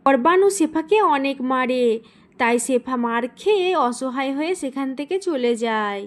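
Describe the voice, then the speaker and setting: Really high voiced lady reading out a kids cartoon story as narrator. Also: expressive, moderate pace.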